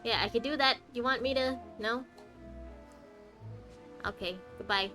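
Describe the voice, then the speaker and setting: A woman's voice: fairly high pitch, unhurried. A woman giving casual live gameplay commentary, chatty and playful as she reacts aloud to the game. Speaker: game streamer. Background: game music.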